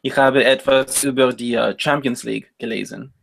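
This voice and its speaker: A man's voice: medium pitch, steady tone, normal speed, gravelly, a bit bright. A man hosting a casual interview, asking simple questions and repeating answers back. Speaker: interviewer, host.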